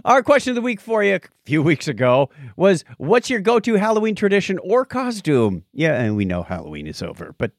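A man's voice: high-pitched, wildly swooping pitch, fairly quick, few pauses, no room sound, studio quality. A man co-hosting a podcast with casual, joking banter and readings of listener messages. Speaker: podcast co-host.

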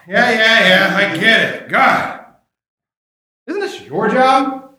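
A man's voice: high voice, animated, slow pace, pushed and bright. A man co-hosting a live radio-style comedy show in a grumpy, exasperated, sarcastic manner. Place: comic shop, live before audience. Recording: echoey live room recording.

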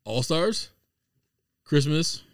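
A man's voice: on the high side, animated, drawn-out words, no echo, clean studio sound. A man on a podcast panel, bantering casually in a blunt, teasing, contradicting manner. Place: podcast studio.

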